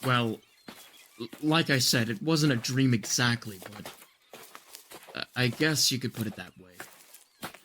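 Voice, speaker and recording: A man's voice: medium pitch, a little slow, pauses often, a bit bright, lightly acted. A man acting an earnest and resolute character in an audio drama. His mid-range voice is insistent and sincere, with light noise behind it. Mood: determined. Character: earnest, determined man set on investigating.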